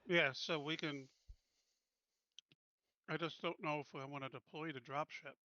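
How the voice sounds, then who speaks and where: Bright-voiced man, slightly high pitch. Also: fairly even intonation, unhurried, no echo, highs cut off. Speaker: tabletop game player. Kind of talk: casual game table discussion.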